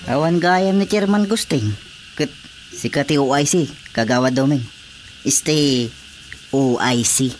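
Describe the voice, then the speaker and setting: A man's voice: medium pitch, unhurried, pauses often, soft background music, lightly acted. A man with an Ilocano accent playing an authoritative village captain in a radio drama comedy. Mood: businesslike. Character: male village captain, matter-of-fact and authoritative.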